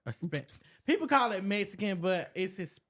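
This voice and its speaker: Man, mid twenties, interview guest, laid-back, blunt, rambling, slightly high. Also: lively intonation, normal speed, fairly bright tone. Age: 20s. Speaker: podcast guest. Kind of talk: casual interview chat.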